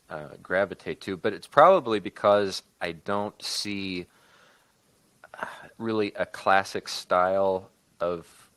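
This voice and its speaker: A man with a very low-pitched voice speaks as a guest in a casual discussion. He is thoughtful and measured, with some hesitation as he puts his ideas together. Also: fairly bright tone, no room sound. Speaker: podcast guest, co-producer and writer.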